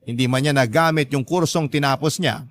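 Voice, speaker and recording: A man's voice: a little high, a little fast, close to an everyday voice. A man with a Filipino accent playing a shy young man who confides his life in first-person radio drama narration, earnest and confiding. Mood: earnest, wistful.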